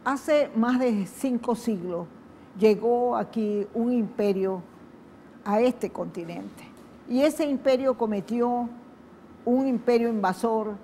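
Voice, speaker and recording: A woman's voice: medium pitch, warm tone. Woman from Venezuela, TV host, emphatic, solemn, speech-like delivery, faint background noise. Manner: solemn, emphatic, repetitive phrasing. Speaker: TV program host. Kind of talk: speech-like program introduction. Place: outdoors at a public event.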